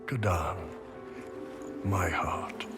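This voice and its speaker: An actor voicing a big, laid-back horned warrior in a video game, blunt, casual and unhurried. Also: male voice, low-pitched, music in the background. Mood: tender.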